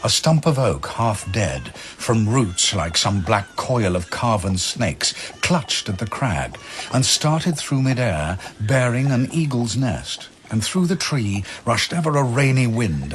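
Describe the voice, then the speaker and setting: A man narrating archaic verse as a dramatic story, measured and declamatory. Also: mid-range voice, very animated, a little hiss or hum.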